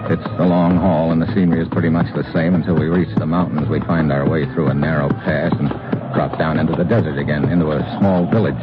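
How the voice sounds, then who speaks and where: An actor playing a tough, wisecracking American secret agent with slangy, hard-boiled delivery in an old-time radio drama. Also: male voice, a bass voice, steady tone, fast talker, loud music behind the voice. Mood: narrating, steady. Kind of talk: old-time radio detective acting and first-person narration. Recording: muffled old radio recording.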